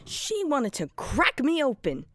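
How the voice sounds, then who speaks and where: Girl doing a snarky dismissive cartoon rabbit guy, swings all over in pitch, a bit hoarse. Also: wildly swooping pitch, a little slow, a bit bright, no echo, full character voice. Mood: bitter. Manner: sarcastic, dismissive, mocking laughs. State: sometimes hoarse and nasal from being sick. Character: smug sarcastic male cartoon rabbit. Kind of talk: fan voice acting for an animation storyboard.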